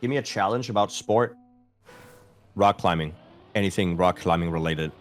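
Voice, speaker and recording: A man from Denmark chats casually with his live stream viewers, answering their questions aloud as they come in. His voice sits on the low side, and he readily pokes fun at himself. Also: moderate pace, faint music behind. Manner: chatty, answers chat questions aloud, self-deprecating.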